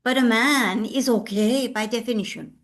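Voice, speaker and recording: A woman's voice: on the high side, moderate pace. A woman chipping into an informal group discussion.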